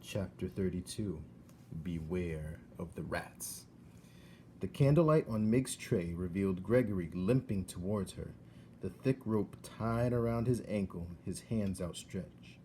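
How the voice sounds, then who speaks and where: Guy narrating a kids book, very slow, lowish voice, dramatic pauses, little bit of noise. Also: expressive, dark tone. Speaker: read-aloud narrator. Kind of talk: scripted storybook narration.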